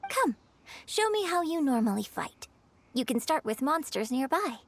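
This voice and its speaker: A woman playing a poised young opera performer in a video game, speaking as a patient, articulate acting mentor in measured phrases. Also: high-pitched, sing-song, a little hiss or hum. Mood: patient, instructive.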